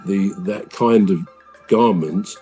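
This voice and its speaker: A man with a fairly low, mellow voice co-hosts a podcast in relaxed conversation. He wanders through his points with ums and self-corrections. Soft music sits faintly underneath. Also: takes it slowly. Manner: thoughtful, rambling, with frequent fillers and restarts. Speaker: podcast co-host. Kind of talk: casual podcast chat.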